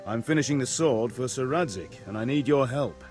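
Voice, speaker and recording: A man playing a gruff joking blacksmith father. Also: medium pitch, animated, fairly quick, darkish tone, faint music behind, lightly acted. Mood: gruff teasing. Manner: blunt, teasing, bossy. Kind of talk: video game cutscene acting.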